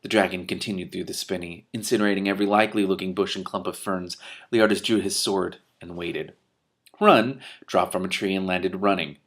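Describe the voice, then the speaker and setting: A man's voice: low voice, fairly quick. A man reading a fantasy story aloud expressively, stumbling and rereading at times, with off-the-cuff asides. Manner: animated in dialogue, stumbles and rereads. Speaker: reader giving commentary on a book.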